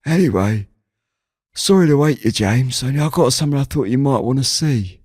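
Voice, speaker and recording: A man's voice: medium pitch, animated, normal speed, a little mellow, breathy edge, no room sound, clean studio sound, voice pushed up. A man voicing a chatty, nosy old village grandfather in an audio drama, folksy and grumbling in manner. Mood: chatty, concerned. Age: older. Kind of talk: audio drama character dialogue.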